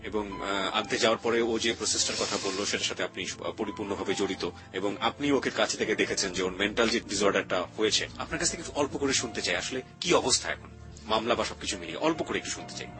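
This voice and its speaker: A man's voice: lowish voice, fast talker. A man with a Bangladeshi accent hosting a confessional radio interview show. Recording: music playing behind the voice. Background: background music.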